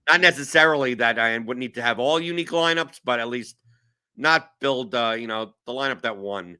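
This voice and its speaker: Rambling forties man with a bright voice. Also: medium pitch, brisk, clear, resonant tone. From New York. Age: 40s. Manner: rambling, self-mocking, lots of fillers and restarts. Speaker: show host. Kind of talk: casual chat.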